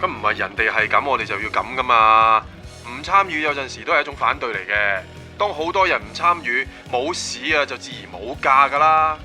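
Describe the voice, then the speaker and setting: A man's voice: mid-range voice, expressive, brisk, sharp tone, faint music behind. An actor with a Hong Kong Cantonese accent playing a resigned young man weighed down by a mortgage, speaking conversationally in a radio drama. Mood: reflective. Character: young man, resigned mortgage-burdened would-be model maker.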